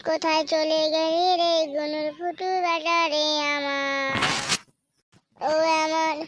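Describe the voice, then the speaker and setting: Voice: high voice, little pitch movement, a bit bright, lo-fi sound, a little hiss or hum. A performer voicing a grieving village mother in a comic cartoon, wailing and reproachful. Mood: wailing grief. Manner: wailing, drawn-out lamenting and reproachful. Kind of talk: amateur comic cartoon dubbing.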